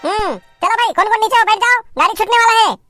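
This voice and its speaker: A man voicing an excitable, grumbling villager in a cartoon comedy, with a Chhattisgarh accent and an exclamatory, teasing delivery through a pitched-up effect. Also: quick pace, faint music behind. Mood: bossy, teasing. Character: excitable, grumbling male villager on a picnic outing.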